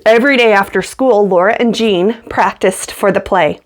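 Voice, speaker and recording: A woman's voice: medium pitch, moderate pace. A woman reading a children's picture book aloud as a storyteller, acting out the dialogue as she goes.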